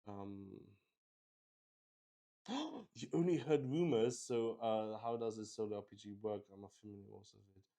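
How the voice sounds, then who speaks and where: A man's voice: lowish voice, animated, moderate pace, warm tone. A man streaming a solo tabletop roleplaying game, explaining it casually to viewers in a hesitant, rambling way.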